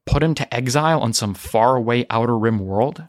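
A man voicing a composed, principled female rebel leader with a formal, measured, firm manner. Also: mid-range voice, no echo, studio quality, close to an everyday voice. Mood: stern. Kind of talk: audio drama character reading.

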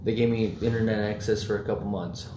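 A man's voice: lowish voice, little pitch movement, fast talker. A young man in casual chat, rambling loosely with lots of fillers and swearing. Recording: echoey room, faint background noise.

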